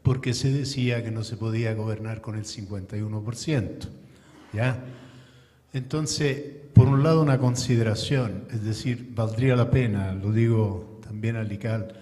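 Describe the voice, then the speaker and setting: A man speaks up from the audience in a lecture hall. His voice sits fairly low and mellow, and he talks in a discursive way, circling toward his question. A long hall reverb hangs on his words. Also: steady tone, normal speed. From Italy. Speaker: audience member asking a question.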